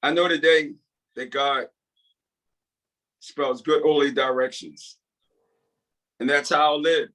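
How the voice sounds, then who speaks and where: Man playing an earnest, humble inmate, slightly high voice. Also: fairly even intonation, sharp tone, low-quality recording. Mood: reflective, remorseful. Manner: earnest, deferential, halting line reading. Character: repentant male prison inmate and martial arts teacher, earnest, humble. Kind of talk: script table read. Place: video call.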